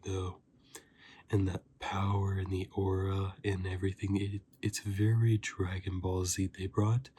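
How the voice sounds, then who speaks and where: Low, slow, whispery ASMR man. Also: a little hiss or hum. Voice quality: soft, breathy, often dropping into a whisper. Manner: informal fan slang, rambling. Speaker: host of an anime review channel. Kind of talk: softly spoken ASMR review.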